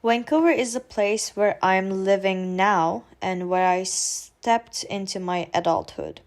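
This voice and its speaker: A woman's voice: mid-range voice, slow. A woman in her twenties from Turkey with a Turkish accent, narrating a personal vlog in an earnest, careful way with some hesitant fillers. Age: 20s. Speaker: student vlogger.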